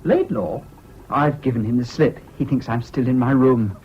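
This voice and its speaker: An actor playing a whimsical, impulsive young English student in a radio drama, talking in a chatty, flippant way. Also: male voice, medium pitch, moderate pace, lightly acted. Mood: confiding, flippant. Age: young adult. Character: whimsical, impulsive young male Cambridge student, evasive charmer. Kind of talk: 1970s British radio drama acting. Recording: dull, hissy old radio recording.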